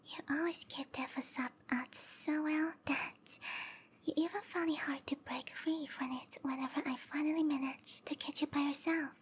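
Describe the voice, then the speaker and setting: A woman voicing a sweet, obsessive snake-girl lover in a breathy, cooing whisper for an intimate ASMR roleplay. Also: high voice, steady tone, normal speed, a little hiss or hum, natural voice. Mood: tender, possessive. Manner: cooing, tender, possessive.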